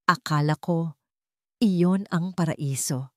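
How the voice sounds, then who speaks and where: A woman's voice: low-pitched, takes it slowly, a bit bright, no room sound, studio quality. A woman voicing a devoted, adoring billionaire husband in an audio romance story, tender and emotional. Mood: emotional, repentant.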